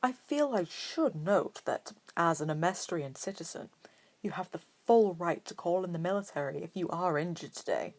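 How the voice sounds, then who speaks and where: A woman voicing a protective, charming father with a wry, formal and quietly threatening manner in a story. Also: on the low side, lively intonation, moderate pace, dry recording. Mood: smooth, veiled threat.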